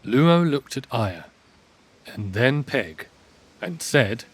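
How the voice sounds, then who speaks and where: A man narrating a scripted fantasy audio drama in a measured storytelling manner. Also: medium pitch, big pitch swings, husky.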